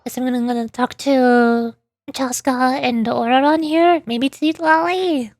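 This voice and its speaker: A woman streaming a game with playful, animated reactions and commentary while music plays. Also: sits high, measured pace, no room sound. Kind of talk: game livestream, voicing characters and reacting.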